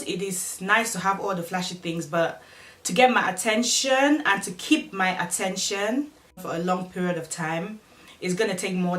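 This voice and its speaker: A woman's voice: fairly low pitch, a bit bright, reverberant, far from the mic. A woman in her twenties from Cameroon, speaking English with a Cameroonian accent, casually reading viewers' questions aloud and answering them in a vlog. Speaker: vlogger.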